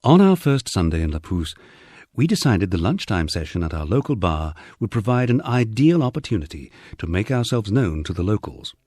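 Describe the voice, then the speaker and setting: Male audiobook narrator, lowish husky voice with an animated, expressive read. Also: moderate pace, no room sound, studio quality. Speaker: audiobook reader. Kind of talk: scripted audiobook narration of a memoir.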